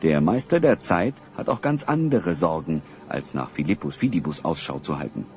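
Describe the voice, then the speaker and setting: A man narrating a scripted audio drama as a storyteller, with a husky voice. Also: a bass voice, animated, normal speed, darkish tone, soft background music.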